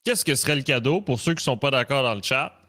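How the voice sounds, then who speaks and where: A man's voice: mid-range voice, animated, fast, bright, forceful tone. Man with a Canadian French accent. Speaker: wrestling talk show co-host. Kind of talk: casual live-stream discussion.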